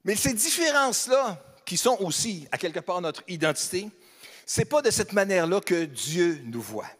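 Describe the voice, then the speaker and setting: High-voiced Québécois pastor in a hall. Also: male voice, big pitch swings, normal speed, fairly bright tone, slightly rough. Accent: Québécois French. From Quebec, Beauce region. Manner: conversational, humorous, asks the congregation rhetorical questions. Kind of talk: sermon. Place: church hall. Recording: long hall reverb.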